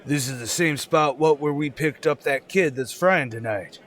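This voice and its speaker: A man playing a rattled small-time crook in a radio drama, with rough, slangy, defensive speech. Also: a little high, normal speed, fairly bright tone. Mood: suspicious. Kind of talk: radio drama acting as a gangster.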